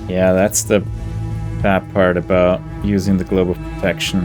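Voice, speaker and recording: A man's voice: deep voice, little pitch movement, normal speed. A man giving casual game commentary, muttering his tactics with dry exasperation. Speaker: gaming video host. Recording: game music behind the voice.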